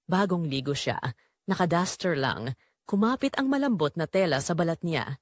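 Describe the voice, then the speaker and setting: Lively Filipino woman narrating a story. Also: lowish voice, normal speed, dry recording, clean studio sound. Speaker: narrator of an audio drama. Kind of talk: scripted first-person story narration.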